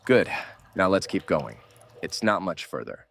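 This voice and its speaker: A man playing a gruff, blunt, world-weary survivor mentoring a kid in a horror audio drama. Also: low-pitched, big pitch swings, a little slow, a bit bright, a little hiss or hum, exaggerated voice. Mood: subdued, grim. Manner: blunt, scolding, urgent.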